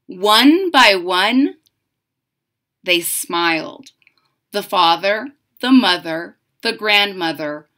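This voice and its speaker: Animated middle-aged woman reading to children. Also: medium pitch. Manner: dramatic, deliberate, explains things simply to young listeners. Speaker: storyteller reading a picture book aloud to children. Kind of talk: expressive children's read-aloud with explanatory asides. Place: indoors, small home room.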